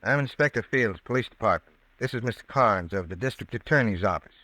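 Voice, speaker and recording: A man's voice: on the low side, expressive, fairly quick, bright, forceful tone, rough recording. A man acting a businesslike police inspector in an old-time radio drama, official and matter-of-fact. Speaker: radio actor.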